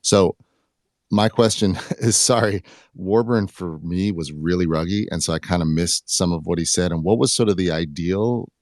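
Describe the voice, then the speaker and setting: A man's voice: sits low, moderate pace, a little mellow, no echo, clean studio sound. A man taking part in an online panel, chatting informally with slangy, self-effacing phrasing. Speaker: panel participant asking a question. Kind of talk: casual chat.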